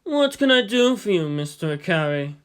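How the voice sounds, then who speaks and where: A man's voice: high voice, very animated, moderate pace, clear, resonant tone. A man voicing a lazy, wry middle-aged former admiral with a mild, philosophical manner in a story reading. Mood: polite.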